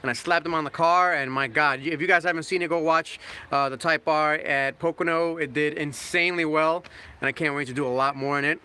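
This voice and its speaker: A man's voice: on the high side, fairly even intonation, brisk, sharp tone, smooth. A man in his thirties hosting a casual car livestream, chatting loosely and laughing, a bit loopy from not eating all day. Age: 30s. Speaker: car channel host.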